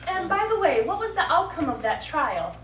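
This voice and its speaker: An actress playing an imperious, wealthy client in a stage play, speaking in dry, pointed, formal phrases. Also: female voice, very high voice, sing-song, moderate pace, fairly bright tone, heavily acted. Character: sharp-tongued, cool, imperious wealthy female client. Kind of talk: live stage play acting. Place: small indoor theater studio. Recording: echoey, noisy stage recording from far off.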